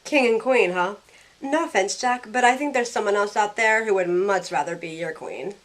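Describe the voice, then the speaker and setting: A woman's voice: mid-range voice, moderate pace, lightly acted. A woman playing a snarky young heroine in an audio drama, narrating in the first person and speaking her lines with wry sarcasm. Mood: teasing. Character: snarky young woman thrown back aboard the Titanic. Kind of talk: first-person scripted narration and acted dialogue.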